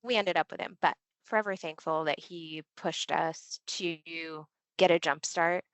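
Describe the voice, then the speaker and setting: A woman's voice: low-pitched, fairly even intonation, measured pace, clean tone, no echo. A woman, a Marine veteran linguist, talking candidly as a podcast guest, with loose fillers. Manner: candid and chatty, with filler words. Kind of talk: casual interview chat.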